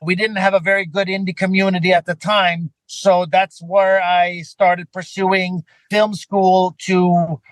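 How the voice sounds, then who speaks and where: A man from Sweden whose first language is Swedish speaks as an interview guest. His voice sits high for a man, in a dry recording with no room sound. He tells stories in a casual, conversational way. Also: little pitch movement, a little slow, few pauses, no room sound, rough recording. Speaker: podcast guest, filmmaker and actor.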